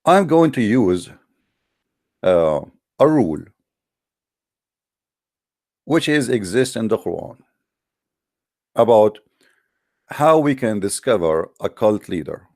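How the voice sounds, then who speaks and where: Deep-voiced man with a non-native English accent. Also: very animated, slow pace, pauses often, a little mellow, no room sound, clean studio sound. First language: not English. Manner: challenging, confrontational, with rhetorical questions. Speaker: host of an online religious debate call-in show. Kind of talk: informal polemical monologue to live online audience.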